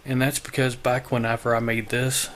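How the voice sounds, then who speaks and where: A man with a weak voice that is starting to give out, casually talking through a software tutorial in an informal, self-deprecating way. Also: medium pitch, level tone, normal speed. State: weak voice, losing his voice. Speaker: tutorial host. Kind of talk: casual screen-recorded software walkthrough.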